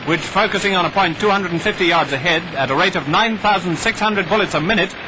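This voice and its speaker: A man's voice: sits high, fast talker, noisy background. A man with a British RP accent delivering clipped, declamatory wartime newsreel commentary on an old 1940s soundtrack. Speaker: newsreel narrator.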